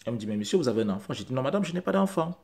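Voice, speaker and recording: A man's voice: medium pitch, big pitch swings, rapid-fire, creaky, mellow. A man whose first language is not French, talking straight to camera in an insistent, repetitive way and building to emphatic peaks. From Central Africa. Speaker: vlogger.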